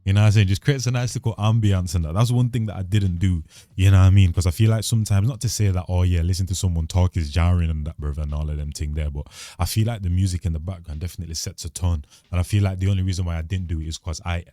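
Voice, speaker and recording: A young man talks quickly to his channel in a slangy, rambling way. His voice sits very low and sounds dark and mellow. The close desk mic keeps it dry, with no room sound. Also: fairly even intonation, dry recording. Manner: slangy and laid-back, loose and rambling. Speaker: channel host. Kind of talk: casual vlog chat to camera. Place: home recording setup. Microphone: studio mic at a desk.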